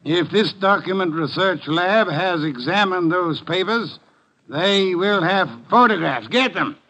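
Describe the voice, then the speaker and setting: An actor playing a grumpy, brainy private detective with pompous, precise diction in a 1950s radio drama. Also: male voice, sits high, unhurried, pushed and bright, full character voice. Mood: imperious, measured. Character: imperious, grumpy, cerebral male private detective. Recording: muffled vintage 1950 radio recording.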